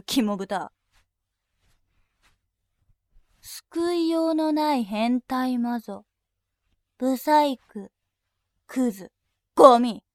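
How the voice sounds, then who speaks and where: A woman voicing a composed female bank clerk who speaks in very formal, deliberate language and turns dominating and scornful. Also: sits high, no room sound, studio quality. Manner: very formal polite speech, slow and deliberate. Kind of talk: adult audio drama acting.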